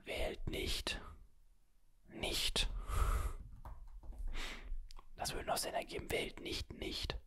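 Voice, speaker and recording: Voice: drawn-out words, pauses often, pushed and bright. A video host whispering the whole time while playing a word-guessing game, listing guesses, musing, and grumbling playfully at the computer. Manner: whispers throughout, guessing words aloud and musing. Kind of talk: whispered gaming commentary.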